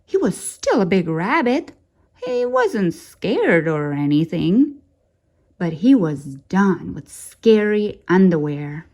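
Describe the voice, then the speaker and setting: A woman reading a children's story aloud in an expressive, dramatic way, drawing out key words for suspense. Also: on the low side, takes it slowly.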